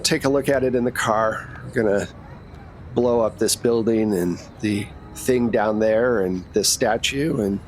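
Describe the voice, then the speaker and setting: A man's voice: on the low side, a little slow, faint music behind, close to an everyday voice. A man playing a businesslike, protective female secret agent recruiter in a tabletop game, explaining things in a matter-of-fact, reassuring way. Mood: brisk.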